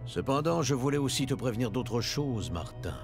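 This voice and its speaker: A man's voice: medium pitch, expressive, moderate pace, natural voice. A man playing a benevolent, solemn ancient Egyptian god in a cartoon, speaking in a stately, formal way. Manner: stately, formal, old-fashioned wording. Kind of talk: French cartoon dubbing. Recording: music behind the voice.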